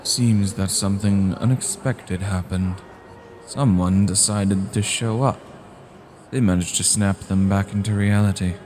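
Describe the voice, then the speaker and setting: A man playing a cold, scheming vampire clan leader in a fantasy audio drama, speaking in a deliberate, formal and grave way. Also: low-pitched, fairly even intonation, darkish tone, a little hiss or hum.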